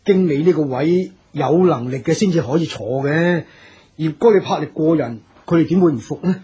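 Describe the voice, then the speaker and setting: An actor playing a loyal, tactful aide in a radio drama, hesitant and soothing, with a Hong Kong Cantonese accent. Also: male voice, on the high side, moderate pace, dark tone, slightly rough, lo-fi sound. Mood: deferential, concerned. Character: loyal, tactful male aide to a stern boss.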